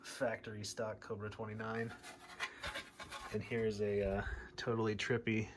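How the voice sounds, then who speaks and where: A man's voice: on the low side, completely flat delivery, a little slow, noisy background. An American man talking informally and thinking aloud as he narrates a hands-on hobby repair video. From United States, Midwest. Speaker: radio hobbyist presenter.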